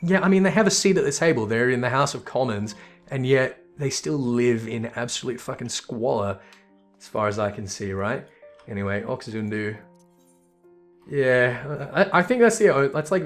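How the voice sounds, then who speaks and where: A man comments casually on a video game as he plays. His asides are informal and sweary, in a mid-pitched voice with music playing behind him. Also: animated, moderate pace. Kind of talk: casual gameplay commentary and narration reading.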